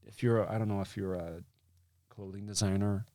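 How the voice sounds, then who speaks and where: A man's voice: lowish voice, steady tone, normal speed, warm tone, dry recording, studio quality. A man hosting a casual interview, talking bluntly and emphatically, repeating himself and checking that the listener agrees. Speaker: podcast host, acting coach. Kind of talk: casual chat.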